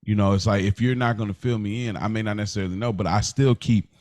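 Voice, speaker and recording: A man's voice: lowish voice, fairly even intonation, quick pace, darkish tone. A man co-hosting a podcast in casual, slangy chat, often acting out quotes to explain himself. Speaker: podcast co-host.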